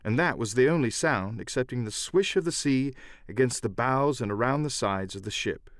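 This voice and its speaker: A man narrating an adventure novel as dramatic, scripted storytelling in a measured literary style. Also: mid-range voice, lively intonation, dry recording, studio quality. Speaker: audiobook narrator and voice actor.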